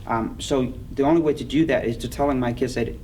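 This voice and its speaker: A middle-aged man with a Vietnamese accent, speaking earnestly in an oral history interview, halting, with many fillers. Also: mid-range voice, steady tone, a little mellow. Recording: distant mic with clear background noise.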